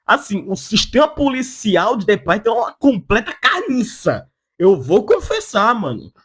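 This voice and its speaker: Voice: mid-range voice, wildly swooping pitch, normal speed, a bit bright, breathy edge. A person with a Brazilian Portuguese accent giving casual opinion commentary as a video essayist, in a blunt, slangy, sarcastic way.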